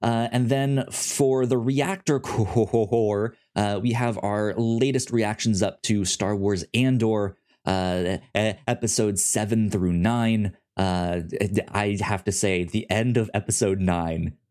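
Low-voiced stammering male podcast host. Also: fairly even intonation, slow, few pauses, darkish tone. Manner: stammering restarts, chatty. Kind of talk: casual podcast chat.

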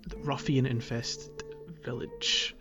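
A man's voice: medium pitch, little pitch movement, slow pace, lo-fi sound, over music. A man hosting a podcast, chatting casually and thinking aloud as he goes. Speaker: podcast host. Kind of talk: casual gaming podcast chat.

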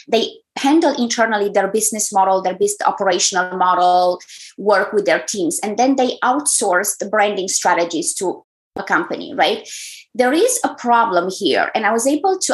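A woman from Romania with a Romanian accent, answering as a podcast guest in an effusive, measured way. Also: medium pitch, low-quality recording. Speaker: guest, brand strategist. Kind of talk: interview answers.

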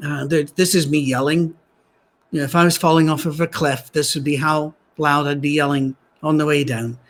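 A man, a pastor, preaching a sermon in a soft, gentle voice, conversational with dry humorous asides, at a church gathering held on a soundstage. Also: on the high side, fairly even intonation, normal speed.

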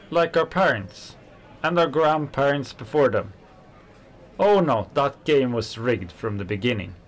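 An actor playing an angry working-class kitchen worker, a fiery socialist who talks in heated, scornful bursts. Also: male voice, mid-range voice, close to an everyday voice. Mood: rousing. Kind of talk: radio play acting.

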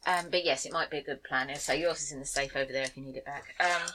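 A woman's voice: deep voice, fairly even intonation, fast, background noise. A woman running a tabletop role-playing game as game master, talking in a rambling way with many ums.

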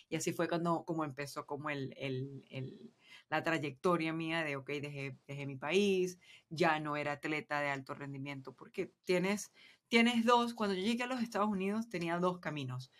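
A woman's voice: deep voice, a bit bright. A young adult woman with a Venezuelan accent, a business guest chatting casually in a podcast interview and dropping English words into her Spanish. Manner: chatty, mixes English words, checks in with "¿sí me explico?". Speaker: guest entrepreneur.

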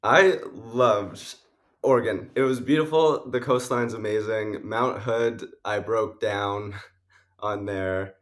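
Low-voiced sniffly young man, rambling. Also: takes it slowly. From Canada. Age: young adult. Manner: rambling, many likes and uhs, laughs often. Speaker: musician guest. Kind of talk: casual interview answers.

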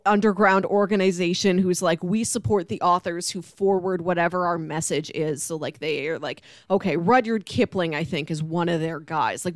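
A woman's voice: fairly low pitch, no room sound, clean studio sound. A woman hosting a casual pop culture discussion, chatting like an enthusiastic storyteller. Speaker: podcast host.

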